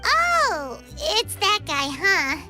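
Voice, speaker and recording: Very high-pitched childlike fairy sidekick. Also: female voice, big pitch swings, very slow, sharp tone, faint music behind, exaggerated voice. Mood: curious, thoughtful. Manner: perky, exclamatory, chatty. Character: small excitable childlike female fairy companion, cheeky and enthusiastic. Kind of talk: animated video game voice acting.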